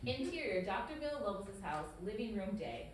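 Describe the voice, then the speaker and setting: A woman's voice: medium pitch, very animated, brisk. A woman reading out stage directions as narrator at a script table read. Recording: very echoey room, distant mic, noisy.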